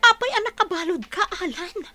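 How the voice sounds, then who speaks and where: A woman's voice: very high-pitched, expressive, fairly quick, bright, forceful tone, faint music behind, full character voice. A woman playing a confused, heartsick young bride-to-be in an Ilocano radio drama, with a Filipino accent. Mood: upset, confused.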